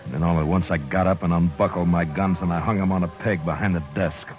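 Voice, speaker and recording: A man acting a grim, stubborn frontier lawman in a 1950s western radio drama, speaking in terse, deliberate, understated lines. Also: sits low, steady tone, natural voice. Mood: somber. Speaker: lead actor and narrator. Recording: dull old radio recording with music.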